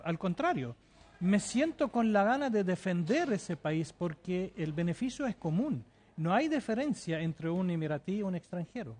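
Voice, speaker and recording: Man, high voice, fervent, insistent, halting, TV interview guest. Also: darkish tone. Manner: fervent, insistent, with frequent hesitations and repeats. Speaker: interview guest living in the Emirates. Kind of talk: TV interview answers.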